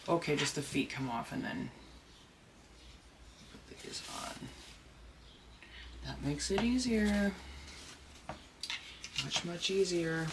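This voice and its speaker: A deep-voiced person chatting casually while unboxing a doll at home, rambling and gushing with excitement. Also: slow, pauses often. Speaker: doll collector and vlogger. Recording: noisy home recording.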